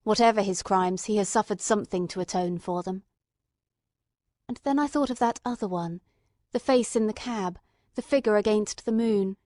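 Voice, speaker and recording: A woman's voice: mid-range voice, normal speed, warm tone, dry recording. Woman narrating a classic novel, dry sound. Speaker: audiobook narrator. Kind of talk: scripted literary narration.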